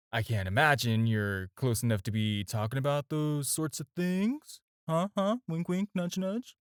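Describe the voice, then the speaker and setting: A man acting in an audio roleplay as a smooth-talking, flirtatious villain who teases and coaxes the listener with playful pauses. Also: mid-range voice, no room sound, clean studio sound, lightly acted. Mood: teasing, flirtatious. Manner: coaxing, playful, hesitant pauses and drawn-out teasing. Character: smooth-talking, flirtatious adult male supervillain, teasing and persuasive.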